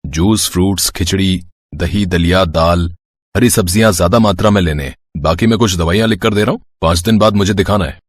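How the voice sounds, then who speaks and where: A calm doctor voiced by a man with a fairly low voice. He instructs in a measured way, as in a dramatised story, and the recording is dry. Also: no echo. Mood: calm advising. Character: calm male doctor giving diet advice. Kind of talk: dramatised Hindi moral story.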